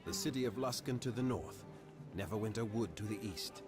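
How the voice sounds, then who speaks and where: Man acting deep, grave fantasy quest-giver over music. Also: lightly acted. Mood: measured, advising. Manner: formal, measured, archaic phrasing. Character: grave, formal man directing a hunt for cultists. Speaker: quest-giving game character. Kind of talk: scripted fantasy game dialogue.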